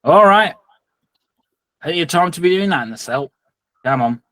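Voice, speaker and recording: A man voicing a gruff, mocking town constable who swears crudely and is eager for a hanging. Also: fairly high pitch, expressive, moderate pace, a bit bright, no room sound, pitched above the performer's own voice. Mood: barking.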